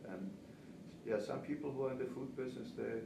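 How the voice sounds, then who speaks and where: A man's voice: medium pitch, brisk, creaky. Elderly Austrian man in a noisy, echoey room. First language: German. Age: 70s. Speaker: pastry chef and cake instructor. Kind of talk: conversational interview answers, reminiscing. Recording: distant, roomy, noisy recording.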